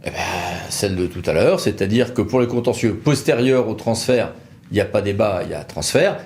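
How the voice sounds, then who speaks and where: A man's voice: fairly low pitch, animated, a little fast, darkish tone. A man presenting as a legal expert, explaining in an informal, conversational way with wry, colloquial asides. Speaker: legal expert from a law firm presenting a video.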